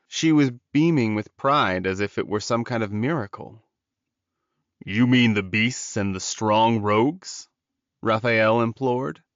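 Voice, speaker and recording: A man's voice: lowish voice, fairly bright tone, no room sound. A man narrating a scripted fantasy story in the dramatic manner of an audiobook. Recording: dull, lo-fi audio.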